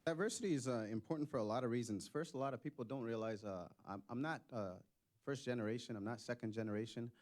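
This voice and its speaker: A man's voice: medium pitch, quick pace, darkish tone. Emphatic man, native Spanish speaker. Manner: emphatic, direct. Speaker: board candidate. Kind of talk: candidate forum answers.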